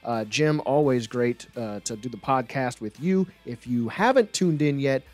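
A man hosting a sports podcast with casual, conversational talk about the team. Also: mid-range voice.

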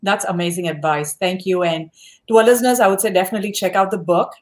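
A woman hosting a podcast, interviewing a guest and praising her warmly and effusively. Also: lowish voice, fast. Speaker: podcast host.

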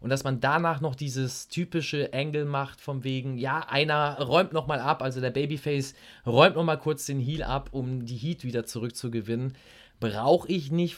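A man co-hosting a wrestling podcast, giving critical opinions in casual chat with hesitant fillers. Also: mid-range voice.

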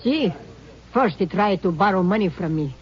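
A woman's voice: mid-range voice, lively intonation, normal speed, natural voice. An actress playing a worried older Mexican mother who picks cotton, speaking broken English with a Mexican Spanish accent, out of breath, in 1950s radio acting. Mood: anxious. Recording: dull old radio broadcast.

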